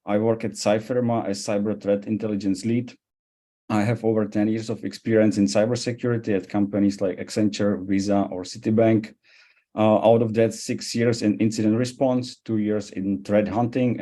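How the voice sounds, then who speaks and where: A man with a Central European accent presenting a webinar as an expert, speaking haltingly with frequent hesitations. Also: lowish voice, mellow. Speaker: cybersecurity expert giving a presentation.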